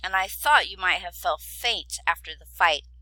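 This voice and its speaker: A woman reading a storybook aloud, voicing a polite, thoughtful young prince in a measured way. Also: on the low side, pushed and bright, dry recording, low-quality recording. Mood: mild.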